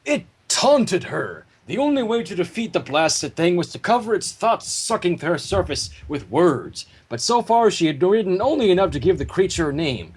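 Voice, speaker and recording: A man with a fairly high, husky voice reads aloud in a theatrical way. He drops out of the story into casual stream chat, keeping the delivery animated and varied in pitch. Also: normal speed, hardly any pauses, poor audio. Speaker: fan fiction reader and livestream host. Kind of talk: expressive story reading mixed with casual livestream banter.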